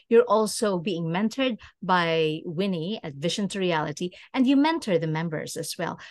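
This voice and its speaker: A woman's voice: lowish voice, dry recording, studio quality. A woman hosting an interview in a conversational style, putting questions and short responses to a guest. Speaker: interview host.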